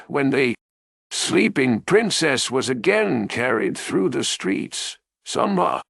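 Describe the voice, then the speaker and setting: A man reads a fairy tale for bedtime in a mid-pitched voice that moves up and down a great deal. His delivery is slow and deliberate, with plenty of gravelly creak. The sound is dry and close. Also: a bit bright, no room sound. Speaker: storyteller.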